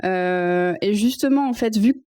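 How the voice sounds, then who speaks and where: A woman's voice: medium pitch, unhurried, warm tone, clean tone, no room sound. A woman in her thirties from northern France, a photographer chatting as a podcast guest, hesitant, with many restarts and fillers. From Arras, northern France. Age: 30s. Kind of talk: casual conversation.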